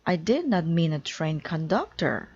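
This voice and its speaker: A woman reading a children's storybook, voicing a flustered, bossy married lady who scolds briskly. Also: sits low, very animated, a little mellow, breathy edge, muffled recording, full character voice. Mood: dismayed, scolding. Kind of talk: children's storybook read-aloud.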